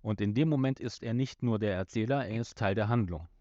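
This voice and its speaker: A man talking casually as a podcast host, halting and circling back to rephrase his points. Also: fairly low pitch, dark tone, dry recording, muffled recording. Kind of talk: casual discussion of an audio drama episode.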